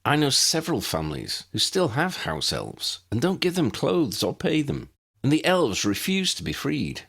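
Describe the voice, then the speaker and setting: A man's voice: mid-range voice, huge pitch swings, normal speed, gravelly, fairly bright tone, slightly rough, pitched above the performer's own voice, full character voice. A man voicing a guarded, matter-of-fact young male wizard in an audiobook. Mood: uneasy. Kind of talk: audiobook character dialogue.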